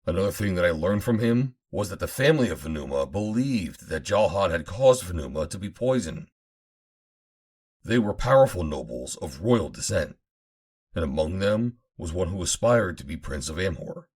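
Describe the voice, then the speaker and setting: A man reading an adventure novel aloud as an audiobook narrator, in a measured, formal storytelling manner. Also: low voice, sing-song, darkish tone, slightly rough. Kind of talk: dramatic scripted narration of an old adventure novel.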